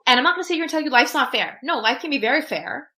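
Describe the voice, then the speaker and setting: A woman's voice: high voice, lively intonation, very fast, bright, forceful tone. A woman whose first language is Urdu, talking through personal experiences and advice in an emphatic, reflective way and often checking in with "right?". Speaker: podcast guest, technologist.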